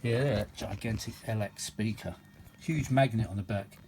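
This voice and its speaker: A man's voice: fairly low pitch, moderate pace, darkish tone, slight background noise. A man giving casual, rambling hands-on commentary as a repair hobbyist, matey and full of tag questions. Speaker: vintage audio repair hobbyist and video host. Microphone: phone held or propped at the workbench.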